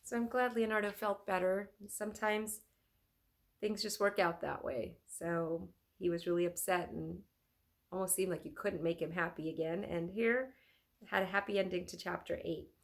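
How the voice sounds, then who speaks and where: A woman reading a children's story aloud as a teacher would, expressive and addressing young listeners. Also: fairly low pitch, normal speed, gravelly.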